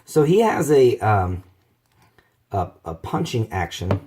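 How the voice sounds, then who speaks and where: A hoarse man, rambling casually through a toy collector's unboxing video. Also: fairly low pitch, big pitch swings, slow pace, noisy background. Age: 30s. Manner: rambling, many fillers and restarts. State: hoarse from bronchitis.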